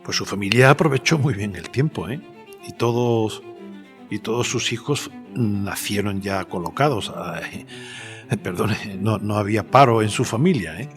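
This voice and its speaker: A man playing a polite, probing journalist, interviewing in a measured, hesitant way for an audio drama. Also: fairly low pitch. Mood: probing, wry. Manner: measured, hesitant, deliberate phrasing. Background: cello and violin music.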